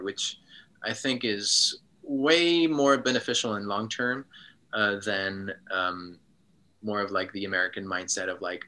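A man from Switzerland, a guest in a casual interview, speaking reflectively with frequent pauses and ums. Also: on the low side, bright, forceful tone, rough recording.

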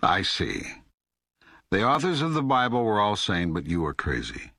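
God as wry old teacher, very low gravelly voice, sweeping intonation, deliberate, emphatic, audiobook drama. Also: male voice, sing-song, sharp tone, dry recording, studio quality, heavily acted. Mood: wry, correcting.

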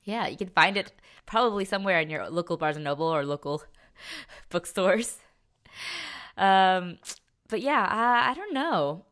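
A woman's voice: mid-range voice, expressive, a little slow, pauses often, a bit bright. A young woman co-hosting a podcast, chatting casually about pop culture and rambling as she thinks aloud. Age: young adult. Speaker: podcast co-host.

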